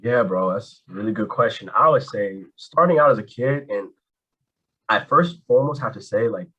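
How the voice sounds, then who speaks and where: A man telling his story as an interview guest, casual and animated, full of slang. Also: fairly low pitch, moderate pace, breathy edge, lo-fi sound. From Canada. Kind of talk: candid conversation.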